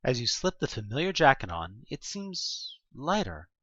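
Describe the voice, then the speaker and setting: Horror audio drama narrator, theatrical and very animated in pitch, forceful tone, dry and slightly dull recording. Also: moderate pace, husky, no echo. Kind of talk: dramatic scripted narration of an interactive horror story in second person.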